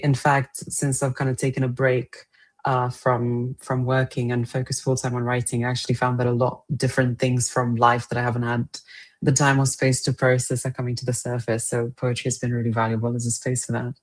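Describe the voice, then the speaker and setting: A young woman poet raised speaking Romanian at home, answering interview questions thoughtfully with pauses and soft laughs. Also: extremely low pitch, little pitch movement. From Romanian family, raised partly in England. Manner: thoughtful, hesitant, laughs often. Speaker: poet guest.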